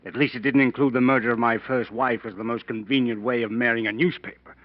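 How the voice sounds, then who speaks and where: A man's voice: mid-range voice, fairly even intonation, fast, no room sound, close to an everyday voice. Old radio drama, guy acting a smug polite blackmailer, mocking, muffled recording. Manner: polite, mocking, leisurely threatening. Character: smug, well-spoken blackmailer, a coroner's lab technician.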